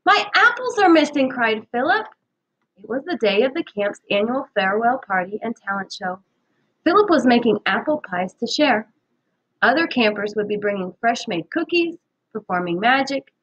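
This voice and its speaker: A woman reading a children's story aloud in an expressive, animated way. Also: a little high, husky, dull audio. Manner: animated, acts out characters' dialogue. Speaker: read-aloud narrator.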